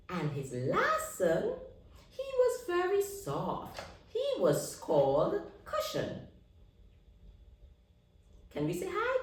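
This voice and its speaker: Very animated woman storyteller in an echoey room. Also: mid-range voice. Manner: expressive, addresses listeners with questions, dramatic pauses. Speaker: children's read-aloud storyteller. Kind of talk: animated picture-book storytelling to young children. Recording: echoey room, distant microphone.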